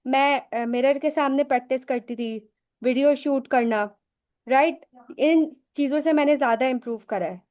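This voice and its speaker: A woman with a high, light voice hosts a live language lesson. She talks casually, asks herself questions and answers them, and slips English phrases into her explanations. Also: moderate pace, darkish tone. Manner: chatty, explains with rhetorical questions and personal examples. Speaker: English teacher hosting a live session. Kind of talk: casual live teaching talk.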